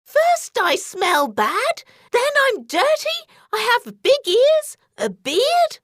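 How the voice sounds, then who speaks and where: A woman plays a crafty storybook wolf pretending to be an elderly granny. The voice is a very high, drawn-out falsetto. It sounds sly and testy, with big swoops in pitch. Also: huge pitch swings, a bit bright, no echo, studio quality, full character voice. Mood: offended, angry. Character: sly male wolf imitating a frail old granny.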